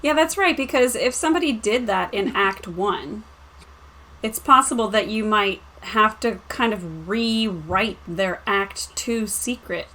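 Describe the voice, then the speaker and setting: A woman's voice: mid-range voice, measured pace, pushed and bright. A woman taking part in a casual review discussion, responding and adding her opinions. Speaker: discussion participant and experienced player.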